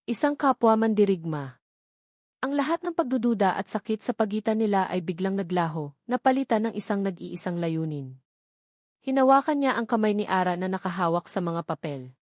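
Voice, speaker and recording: A woman's voice: mid-range voice, a little mellow, dry recording, clean studio sound. A woman reading scripted dramatic narration of a romantic story.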